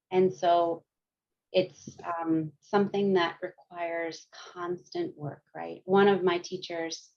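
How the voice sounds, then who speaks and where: A woman counselor talks a support group through breathing and easy stretches in a calm, gentle way, laughing lightly now and then. Her voice sits a little low. The sound is a dull, lo-fi video call. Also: gravelly. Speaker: counselor and guest speaker. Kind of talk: guided mindfulness and yoga instruction. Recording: muffled lo-fi video call audio.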